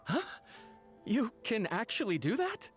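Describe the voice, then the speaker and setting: A loyal young manservant, high-pitched and very animated. He is earnest, deferential and a little anxious, in anime game dialogue with faint music. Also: slow pace, heavily acted. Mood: hesitant, eager.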